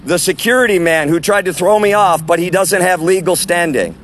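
A man preaching loudly and forcefully on an outdoor sidewalk, proclaiming to passersby. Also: sits high, brisk, a little hiss or hum. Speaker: street preacher. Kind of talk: open-air street preaching.

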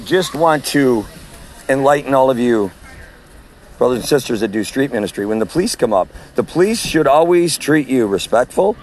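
A man with a loud voice talks to fellow street preachers in a forceful, sermon-like cadence. He sits at a middle pitch and records himself on a phone while walking outdoors. Faint street traffic hums behind him. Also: expressive, normal speed. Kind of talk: walking audio message. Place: outdoors at a farmer's market.

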